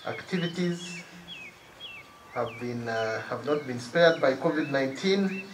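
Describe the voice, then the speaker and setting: A middle-aged man delivers a formal prepared speech at a slow, deliberate pace. His voice rings with outdoor reflections, and faint birdsong sits behind it. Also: mid-range voice, echoey room, slight background noise, low-quality recording. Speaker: guest of honour giving a speech. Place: outdoors on a lawn by trees. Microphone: stand mic at a distance, under an umbrella.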